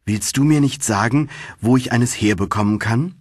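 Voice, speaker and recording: A man voicing a gentle, wishful fairy-tale woman, speaking politely and earnestly. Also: mid-range voice, moderate pace, no room sound, studio quality, natural voice.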